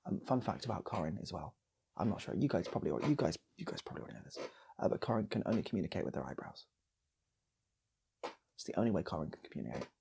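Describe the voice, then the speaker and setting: A man's voice: low-pitched, sing-song, fast talker, gravelly, long pauses, warm tone, slight background noise. A British man improvising a comic story, rambling and repeating phrases for effect as he goes. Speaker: channel host and storyteller.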